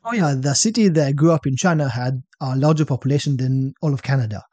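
A young man from Israel with an Israeli accent, chatting as a podcast guest and telling stories in a relaxed way, often ending points with "right?". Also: medium pitch, fast, no echo. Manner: relaxed storytelling, tags statements with "right?". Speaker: podcast guest, fitness coach. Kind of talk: casual interview chat.

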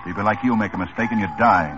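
A man's voice: on the low side, fast talker, close to an everyday voice. A man playing a dry sarcastic police lieutenant. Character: tough wry male police lieutenant. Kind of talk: 1950s radio drama acting. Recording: dull old radio broadcast with music.

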